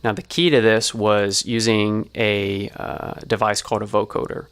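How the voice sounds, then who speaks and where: A man's voice: lowish voice, flat delivery, moderate pace, a bit bright, clean tone, faint music behind. A man giving an explanatory tutorial as a sound designer, talking through it step by step.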